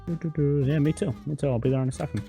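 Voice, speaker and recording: A man's voice: on the high side, rapid-fire, creaky, music in the background. A man in casual improvised game roleplay, teasing and mock-pleading as a co-player.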